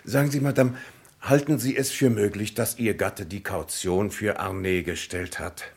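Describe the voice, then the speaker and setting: Low-voiced, formal police commissioner. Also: male voice, fairly even intonation, creaky, slightly rough. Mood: politely firm. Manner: formal, polite, measured. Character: courteous, methodical, firm French police commissioner. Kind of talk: German radio crime drama acting, mid twentieth century.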